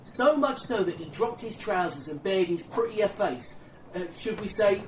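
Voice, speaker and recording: Man playing a cheeky high-pitched English soldier. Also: animated, moderate pace. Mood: amused, jokey. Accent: English, working-class. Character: cheeky, joking English soldier bringing tea round. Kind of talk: dramatic dialogue in an audio play. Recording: roomy, distant, faintly noisy recording.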